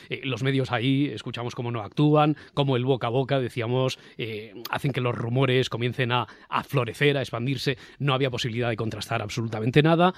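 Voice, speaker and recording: A man's voice: medium pitch, dry recording. A male host with a mid-range voice and a Castilian Spanish accent. He moderates a discussion in an articulate way, posing rhetorical questions. The recording is close and dry. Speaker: host of a round-table podcast. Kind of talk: semi-scripted panel moderation.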